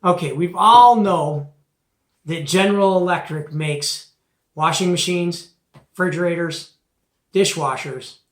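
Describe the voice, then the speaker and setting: A man's voice: a little high, a little slow, pauses often. A middle-aged man giving a casual, folksy and opinionated product show-and-tell as the host. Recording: echoey, roomy home recording.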